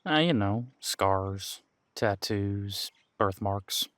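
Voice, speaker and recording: A man acting as a seasoned detective in an audio drama, with a lowish voice in a dry, close recording. He is a patient, wry mentor who thinks aloud in folksy, colloquial phrases and takes his time. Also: drawn-out words, fairly bright tone, no echo. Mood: businesslike. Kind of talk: roleplay audio drama, one-sided conversation.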